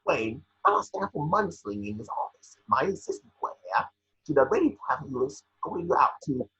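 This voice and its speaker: A man's voice: fairly high pitch, huge pitch swings, normal speed, creaky, a bit bright, husky, highs cut off, voice pushed up, heavily acted. A man playing a scheming, blustering business tycoon in a pompous, pushy manner in an amateur script read. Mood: blustering, mocking.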